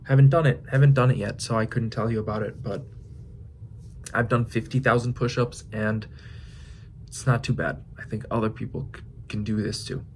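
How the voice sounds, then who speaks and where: A man in his twenties talking through his thoughts in a casual vlog, musing with pauses and restarts. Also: on the low side, steady tone, slight background noise. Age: 20s. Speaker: fitness vlogger. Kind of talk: reflective casual vlog monologue.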